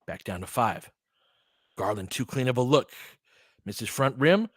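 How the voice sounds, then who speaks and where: A man's voice: medium pitch, animated, unhurried, no echo, clean studio sound. A man doing live basketball play-by-play in short clipped phrases, with casual, partisan asides like a fan commentator.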